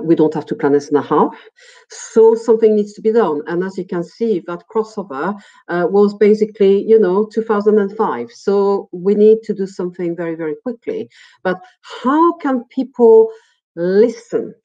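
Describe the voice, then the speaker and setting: A middle-aged woman with a French accent presents a webinar as a lecturer in a conversational, halting, digressive way, often repeating words. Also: medium pitch, dark tone. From France. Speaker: university lecturer. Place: small indoor room, video call. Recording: muffled, dull webinar audio.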